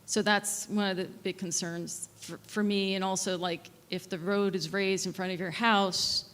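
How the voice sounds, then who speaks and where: A soft-spoken woman, a local resident and architect, giving earnest public testimony at a hearing, explaining with technical terms and many fillers. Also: medium pitch, fairly even intonation, unhurried. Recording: echoey hall, faint noise.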